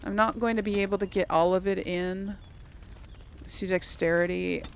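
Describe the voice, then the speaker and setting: A woman's voice: medium pitch, fairly even intonation, moderate pace, gravelly, a little hiss or hum. A woman hosting a casual game playthrough, thinking aloud in a hesitant, musing way. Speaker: gaming video host.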